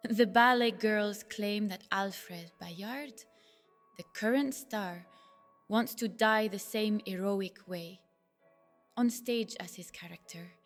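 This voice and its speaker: A woman playing a gracious, romantic young opera star in an audio drama, with theatrical, measured delivery. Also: medium pitch, lightly acted. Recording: long hall reverb with faint music.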